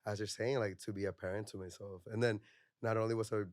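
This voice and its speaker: Guest: low-voiced young man from Puerto Rico, reflective and candid, dry close sound. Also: flat delivery, fast talker, no echo. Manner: reflective, heavy use of "like" and "you know". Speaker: podcast guest, mental health counselor. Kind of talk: candid personal conversation.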